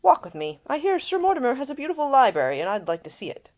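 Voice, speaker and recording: A woman voicing a composed, probing older gentleman, an uncle and lord who speaks formally and deliberately in an audiobook story. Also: sits high, sing-song, voice pushed up. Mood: polite, probing.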